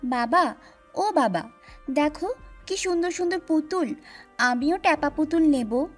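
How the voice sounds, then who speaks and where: A woman's voice: high-pitched, lively intonation, unhurried, soft background music, voice pushed up. A woman voicing a sweet, eager little village girl with a childlike, coaxing manner in a children's cartoon story.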